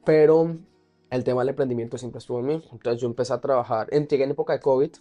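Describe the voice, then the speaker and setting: A man's voice: mid-range voice, steady tone, fast talker, mellow, soft background music. A man with a Colombian accent telling his personal story casually and candidly as an interview guest. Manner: candid, often laughing as he talks.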